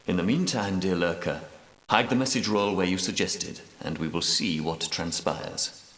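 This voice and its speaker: An actor playing the solemn, pious leader of ancient Grail knights in a video game, speaking in a grave, portentous, formal manner. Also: male voice, low voice, fairly bright tone. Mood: brooding. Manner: grave, portentous, measured, preaching. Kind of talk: scripted video game dialogue, archaic formal speech. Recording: echoey hall with long reverb.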